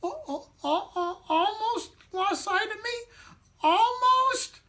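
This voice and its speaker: A man voicing a tiny, excitable, weepy matzo man from a children's storybook, squeaky, stammering and prone to whimpers and giggles. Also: slow pace, exaggerated voice. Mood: hurt, shocked.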